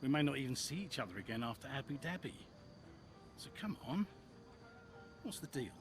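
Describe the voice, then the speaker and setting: An actor playing a blunt, coaxing older racing team mentor in a video game cutscene. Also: male voice, mid-range voice, sing-song, a little fast, over music. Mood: insistent.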